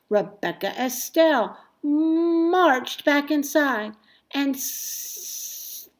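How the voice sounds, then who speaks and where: Slow, high-voiced woman reading a storybook. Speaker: storybook reader. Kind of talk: expressive children's story narration.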